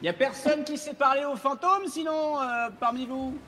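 Player, male, high pitched, loose and rambling, curses casually, faint background noise. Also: normal speed, bright, forceful tone. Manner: rambling and hesitant, swears casually, laughs often. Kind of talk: casual tabletop roleplay chat. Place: home streaming setup.

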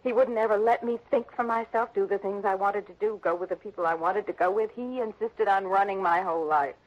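An actress playing a defiant, headstrong young adopted heiress in a 1960s radio drama. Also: female voice, mid-range voice, fairly even intonation, a little fast, close to an everyday voice. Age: young adult. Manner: headstrong, defensive. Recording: dull lo-fi old radio broadcast.

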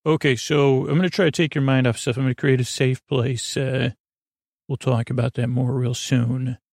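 A man with a creaky voice hosting a sleep podcast, speaking in a meandering, halting, stammering bedtime monologue that drifts into tangents. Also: mid-range voice, little pitch movement, darkish tone, no room sound, studio quality. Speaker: podcast host. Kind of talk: rambling bedtime monologue to help listeners sleep.

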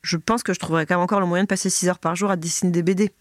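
A woman's voice: fairly low pitch, steady tone, very fast, dry recording, studio quality. A woman in her thirties with a stuffy head cold, chatting casually as a podcast guest, swearing casually and acting out other people's voices. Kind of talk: casual chat.

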